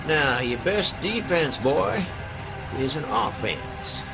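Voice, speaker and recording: A crusty old-hand hacker, super croaky, slow folksy drawl, music underneath. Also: male voice, a little high, very animated, exaggerated voice, poor audio. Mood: dry, wary. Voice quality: gravelly, creaky. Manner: laconic, folksy. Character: crusty, wisecracking veteran male hacker sidekick. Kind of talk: radio drama acting.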